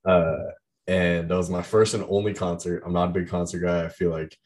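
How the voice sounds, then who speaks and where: Young man, very low deep voice, loose rambling chat, heavy fillers. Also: flat delivery, a little fast, darkish tone. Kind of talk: casual chat about music.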